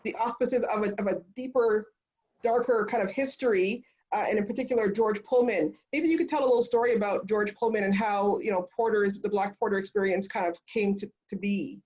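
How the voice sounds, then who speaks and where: A woman's voice: mid-range voice, fairly quick, darkish tone, lo-fi sound. A woman moderating a panel discussion, phrasing her comments and questions tentatively and reflectively.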